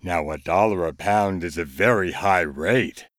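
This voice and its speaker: A man voicing a sly, scheming male cat in a storybook reading, coaxing and persuading in a slow, deliberate way. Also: sits low, a bit bright, dry recording, clean studio sound.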